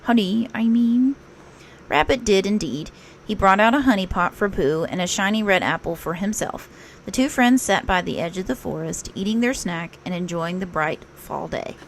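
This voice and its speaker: A woman narrating a children's story aloud in an expressive read-aloud style, like a teacher. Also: fairly low pitch, normal speed, a little hiss or hum.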